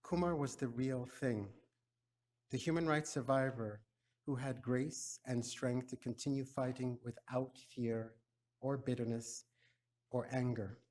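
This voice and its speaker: A man speaking at a memorial in a measured, solemn way. His voice sits at an ordinary middle pitch. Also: fairly even intonation, a little mellow. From Ghana. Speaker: eulogy speaker. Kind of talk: memorial eulogy.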